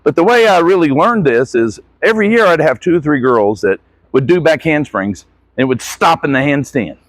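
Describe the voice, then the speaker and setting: Animated, high-pitched male gymnastics coach. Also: normal speed, no echo, poor audio. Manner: encouraging, corrective asides to athlete. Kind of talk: hands-on instruction with demonstration.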